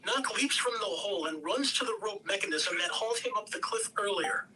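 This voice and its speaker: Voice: medium pitch, expressive, brisk, bright, forceful tone. An amateur reader performing screenplay narration and scene directions with theatrical emphasis. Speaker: script reader at a table read. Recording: distant home mic, background noise.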